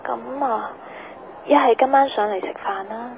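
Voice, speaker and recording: A woman's voice: fairly high pitch, moderate pace, a little mellow, slight background noise, close to an everyday voice, low-quality recording. A woman acting a busy, guarded, jealous young girlfriend in a radio drama, conversational, with a Hong Kong accent. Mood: guarded, piqued.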